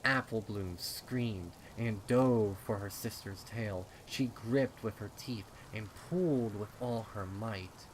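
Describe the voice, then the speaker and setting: Guy reading scary story, low voice, suspenseful, rain noise behind him. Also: slow pace, few pauses, rough recording. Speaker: fan fiction narrator. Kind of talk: dramatic horror story narration.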